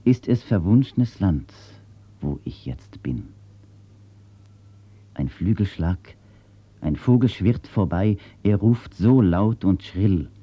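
A man, a writer, reading his own words and reciting nature poetry in a slow, measured, solemn way, from an old archive recording. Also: sits low, lively intonation, husky, highs cut off. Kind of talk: poetry recitation and read-out statements.

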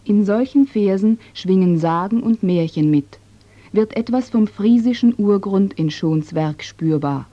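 A woman reading a scripted radio feature narration in a calm, even way. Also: mid-range voice, a little slow, no echo. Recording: dry, dull lo-fi broadcast recording.

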